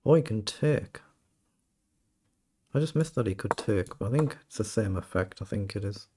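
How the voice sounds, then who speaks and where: A British man with a slightly croaky voice, casually commentating his own chess game and thinking aloud. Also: on the low side, expressive, normal speed, background noise. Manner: thinking aloud, self-questioning. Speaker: chess streamer.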